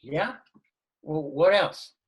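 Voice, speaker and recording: Mild, puzzled middle-aged dad. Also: male voice, a little high, extremely slow, husky, full character voice. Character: mild, puzzled, well-meaning suburban dad. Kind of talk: sitcom script table read. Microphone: video call.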